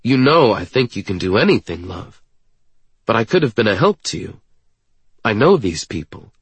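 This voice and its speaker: A man voicing an intense, protective young male lover in an audiobook, tender but guarded, earnest and measured. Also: on the low side, very animated, slightly rough, no echo, exaggerated voice. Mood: tender reproach. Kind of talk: audiobook dialogue acting.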